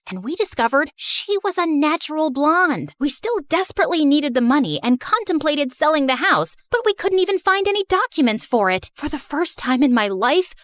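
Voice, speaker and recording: A woman's voice: high voice, a bit bright, dry recording, clean studio sound. A young woman playing an earnest teenage girl who narrates her own story in a dramatic, scripted style. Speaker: narrator of animated stories.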